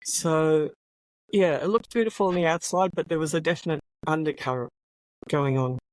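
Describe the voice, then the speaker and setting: An Australian woman in her sixties, a retired police officer telling her story as an interview guest in a relaxed, reflective way. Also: low-pitched, no echo, low-quality recording. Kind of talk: interview conversation.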